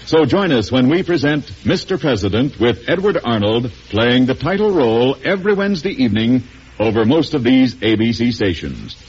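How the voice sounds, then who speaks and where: Animated husky vintage radio announcer man. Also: medium pitch, moderate pace. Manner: dramatic, formal. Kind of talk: 1940s radio promotional announcing. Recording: muffled vintage radio recording.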